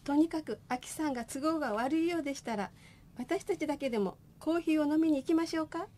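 A woman's voice: high voice, quick pace, mellow, natural voice. A woman playing a cheerful, chatty company president in a comic radio drama.

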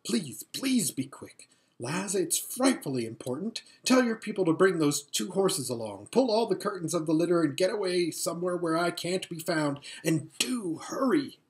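A runaway girl of noble birth from a storybook, played by a woman reader. She is fierce, serious and bossy. Her delivery is urgent and insistent, with strongly varied pitch. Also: lively intonation, moderate pace. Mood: urgent pleading. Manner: hissing, insistent, commanding.

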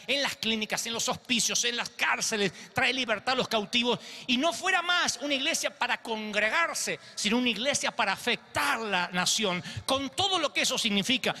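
Brief: man in his thirties, pastor, high and very sharp-toned, animated stage sermon in a big reverberant hall. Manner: theatrical, acts out quotes, pacing the stage. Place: large glass church auditorium. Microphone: handheld mic.

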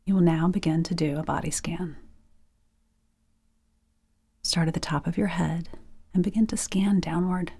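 A woman leading a guided sleep meditation softly and gently, nearly whispering, in short phrases with pauses. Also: lowish voice, fairly even intonation. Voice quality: breathy, soft, near-whispered.

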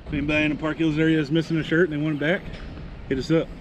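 A man's voice: on the high side, level tone, very fast. A man co-hosting a casual outdoor vlog by the water, talking about things in plain, chatty fashion. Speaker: magnet fishing video co-host. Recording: noisy outdoor ambience behind voice.

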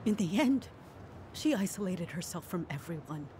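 An actress playing a guilt-ridden, judgmental middle-aged townswoman in a video game drama, with a halting, defensive manner. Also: on the low side, big pitch swings, mellow, slight background noise. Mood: bitter, indignant.

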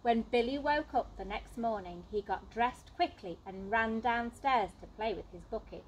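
A woman's voice: a little high, moderate pace, a little hiss or hum, low-quality recording. A woman reading a children's storybook aloud expressively, in the manner of a teacher at storytime.

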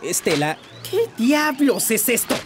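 A man's voice: mid-range voice, very animated, measured pace, music in the background. A man voicing a gloomy, refined owl prince in a Latin American Spanish cartoon dub, with an aristocratic, dramatic delivery. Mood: irritated. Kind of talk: animated cartoon fan dub.

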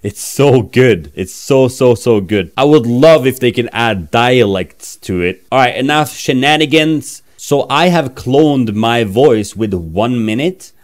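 A man in his thirties from Norway, speaking with a Norwegian accent in a casual, playful software demo. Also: medium pitch, expressive, a little slow, hardly any pauses. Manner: chatty, playful, self-mocking. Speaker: tech reviewer. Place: small room, indoors.

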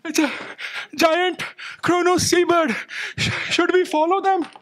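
A man's voice: very high voice, little pitch movement, a little slow, a bit bright. A man playing an eager, hesitant office assistant in a staged audio drama, deferential and inquisitive. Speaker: stage actor. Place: theatre stage, indoors.

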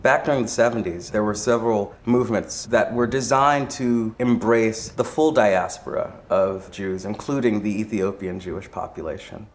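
A man narrating a documentary in a measured, reflective and articulate way. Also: fairly low pitch. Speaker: visual artist presenting the film.

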